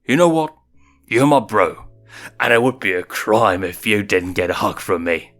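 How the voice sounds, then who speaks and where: A man's voice: fairly low pitch, normal speed, fairly bright tone. A man voicing a cocky, teasing young male lion cub with a casual big-brother manner. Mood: cocky, warm. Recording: music behind the voice.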